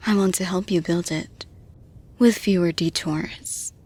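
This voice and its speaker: Woman acting a breathy, unhurried supportive lady boss. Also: fairly low pitch, fairly even intonation. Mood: calm, reassuring. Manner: measured, reassuring, soft breathy delivery. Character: composed, supportive female boss offering sponsorship to employee. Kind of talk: intimate roleplay audio addressed to the listener.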